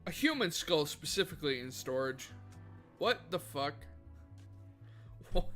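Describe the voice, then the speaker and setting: A man's voice: fairly high pitch, sing-song, slow, sharp tone. A man livestreaming a video game, chatting casually with viewers in a rambling way and swearing freely. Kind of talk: casual gaming livestream chat. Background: faint background music.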